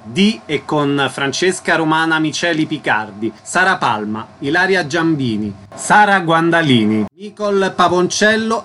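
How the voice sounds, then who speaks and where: A man's voice: a little high, sharp tone, a little hiss or hum. A man reading a scripted announcement as a radio presenter.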